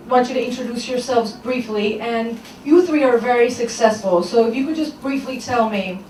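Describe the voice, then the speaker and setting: A young woman hosting a panel discussion, chatty and laughing easily, with frequent fillers. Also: on the high side. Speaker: panel moderator, morning show host. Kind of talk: moderating a live panel. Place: event hall. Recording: echoey hall, far from mic, faint noise.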